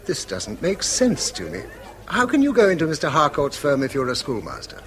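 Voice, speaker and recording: A man's voice: a little high, huge pitch swings, brisk, fairly bright tone, soft background music. An actor playing a proud, disapproving middle-aged English schoolmaster father, speaking formally in a period radio drama. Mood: cold, sarcastic. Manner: formal, clipped, reproachful.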